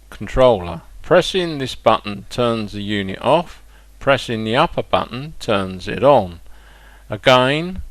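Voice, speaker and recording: A man narrating a hobby product demonstration step by step, in a plain-spoken, deliberate way with hesitant pauses. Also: medium pitch, dry recording. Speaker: hobbyist presenter demonstrating a model train radio control.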